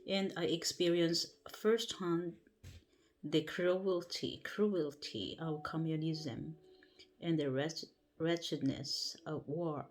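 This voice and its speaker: A woman's voice: sits low, steady tone, soft background music, low-quality recording. Woman reading aloud with a Filipino accent. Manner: careful, halting reading with self-corrections. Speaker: livestream host. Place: at home before bed. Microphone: livestream setup.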